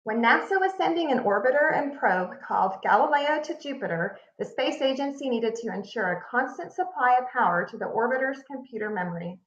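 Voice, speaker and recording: Teacher lady reading a kids book out loud, highish voice, expressive, echoey room. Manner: expressive, deliberate storytelling with emphasis. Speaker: read-aloud narrator, teacher. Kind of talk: reading a children's picture book aloud. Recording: echoey room, slightly muffled sound.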